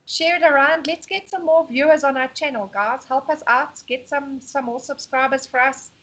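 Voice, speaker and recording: A woman's voice: sits high, steady tone, moderate pace, a bit bright, poor audio. A South African woman co-hosting a casual live stream, chatty and laughing often as she reads out viewer comments. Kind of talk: casual chat.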